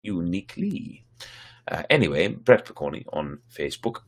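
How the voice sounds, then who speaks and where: A man's voice: low-pitched, very animated, moderate pace. A man hosting a livestreamed story show, chatting casually to viewers in a playful, theatrical, rambling way.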